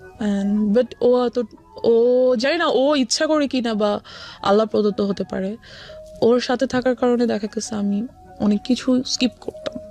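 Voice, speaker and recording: A woman's voice: on the high side, unhurried, dark tone. Young Bangladeshi woman over background music. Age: young adult. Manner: code-switches often between Bengali and English. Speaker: guest telling their life story. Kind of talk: confessional personal storytelling, mixing in English. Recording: music under the voice.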